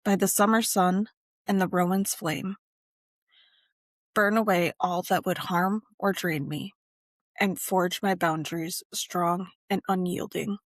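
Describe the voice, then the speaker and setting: Woman leading a guided meditation, reads slowly n deliberately w lots of pauses, dry sound no room echo. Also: lowish voice, fairly even intonation. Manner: measured, deliberate phrasing with frequent pauses. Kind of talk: scripted guided meditation, ritual narration.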